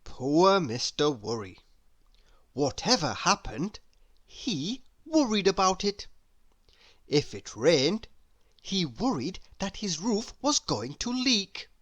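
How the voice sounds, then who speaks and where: Slow, lively woman reading a children's story. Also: fairly low pitch, no room sound. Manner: expressive, deliberate. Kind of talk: read-aloud storytelling.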